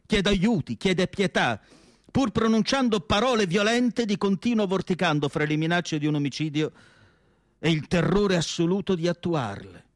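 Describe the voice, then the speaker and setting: A man playing a melancholy asylum doctor in radio theatre, narrating in an emphatic literary monologue with dramatic pauses. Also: high-pitched, animated, dry recording, studio quality. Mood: grave, intense. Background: music.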